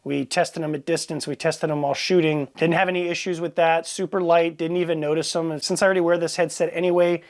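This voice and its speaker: Man with a higher-pitched voice reviewing gear. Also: little pitch movement, fast, hardly any pauses. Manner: plainspoken, conversational, matter-of-fact. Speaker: product reviewer, host. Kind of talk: casual unscripted product review.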